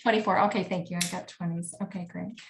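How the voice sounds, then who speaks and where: A woman giving a live spiritual reading over a video call, soft-spoken and often checking in with questions. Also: on the low side, steady tone, fast, creaky, slight background noise. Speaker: spiritual medium.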